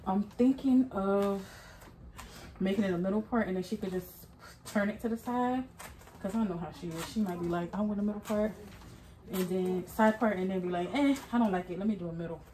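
A woman's voice: medium pitch, a little fast, mellow, low-quality recording. A young adult woman who makes wigs, talking casually to her viewers as she vlogs her day on a phone held at arm's length, calling out to them over and over. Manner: chatty, keeps calling out to viewers with "y'all". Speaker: wig maker and vlogger. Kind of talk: casual vlog talk to camera. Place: home wig studio, then the gym, home and a mall at night. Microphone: phone held close at arm's length.

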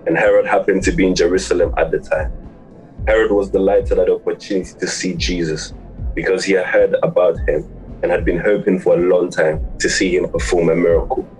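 A man's voice: sits low, darkish tone, lightly acted, low-quality recording. A man playing the storyteller, giving scripted narration for a biblical audio play.

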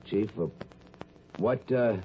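An actor playing an easygoing, polite landscape painter with a mild manner in a 1950s radio drama. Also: male voice, fairly low pitch, slow pace. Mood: affable. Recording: dull, faintly noisy 1950s radio.

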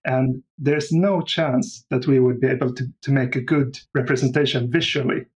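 Measured man with mid-pitched voice. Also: steady tone, a little mellow. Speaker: audio drama creator, podcast guest. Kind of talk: casual interview chat.

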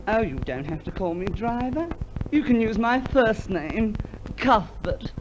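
A man's voice: sits high, expressive, measured pace, lo-fi sound. A man playing a giddy, mischievous cab driver in a radio comedy, with an affected, fluttery, manner of speech. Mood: chatty, cheerful. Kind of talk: 1950s radio comedy acting. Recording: noisy old radio recording.

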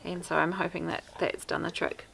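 Gravelly-voiced New Zealand woman. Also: lowish voice, little pitch movement, fairly quick, a bit bright, slight background noise, rough recording. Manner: chatty, practical, down-to-earth. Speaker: homesteader vlogger. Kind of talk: casual how-to talk to camera. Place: outdoors by a wooden fence. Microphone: camera at arm's length.

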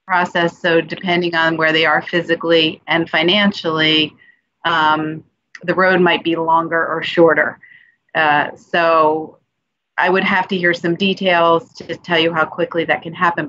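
Middle aged woman explaining stuff on a call, sharp bright tone, bit dull audio. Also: on the low side, a little hiss or hum. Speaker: guest panelist on senior services. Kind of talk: informal explanation to public. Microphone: video call computer microphone.

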